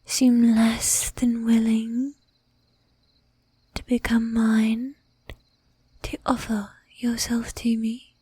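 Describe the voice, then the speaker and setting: Woman playing a breathy, slow-whispering seductive vampire lady. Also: a little high, completely flat delivery, dark tone, dry recording, full character voice. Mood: haughty, puzzled. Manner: slow, teasing, commanding, with archaic polite phrasing. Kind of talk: whispered ASMR roleplay.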